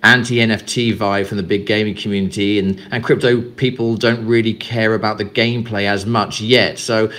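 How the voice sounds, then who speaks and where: A man's voice: lowish voice, steady tone, moderate pace, few pauses, a bit bright. A man from England, interviewed as a guest, answering in a loose chat with many fillers and repeated words. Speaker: guest, company representative. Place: Greece.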